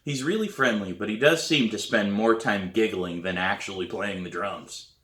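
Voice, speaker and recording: A man reading a children's novel aloud in an expressive storybook narration style. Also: fairly low pitch, normal speed. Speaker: author reading own novel. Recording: roomy echo.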